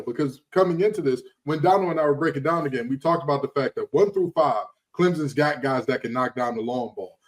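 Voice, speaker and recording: Emphatic man with a highish voice. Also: poor audio. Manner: emphatic, repeats phrases for effect. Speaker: sports talk show panelist. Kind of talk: casual sports commentary.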